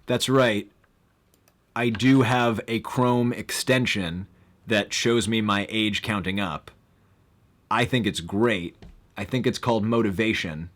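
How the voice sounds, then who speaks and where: A young man hosting a casual live stream, rambling with lots of fillers and bursts of excitement. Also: lowish voice, slow.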